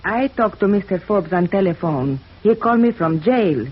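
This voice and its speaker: An actress playing a wary, soft-hearted immigrant landlady in a radio drama, speaking hesitant broken English with an Eastern European accent. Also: medium pitch, lively intonation, normal speed, breathy edge, highs cut off. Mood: wary, reluctant. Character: weary, wary immigrant landlady, married, soft-hearted about her tenant. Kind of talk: 1950s radio drama acting. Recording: old radio recording, faint noise.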